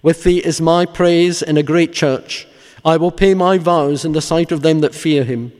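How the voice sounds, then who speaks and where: A man solemnly reciting psalms, litanies and prayers in a measured, reverent, formal way as a prayer leader. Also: a little high, fairly even intonation, darkish tone. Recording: long echoing church-like reverb.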